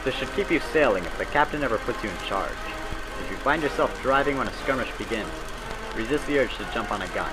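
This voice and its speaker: A man's voice: medium pitch, animated, low-quality recording. Man narrating like a 1940s training film, music behind. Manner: brisk, instructive, folksy period phrasing.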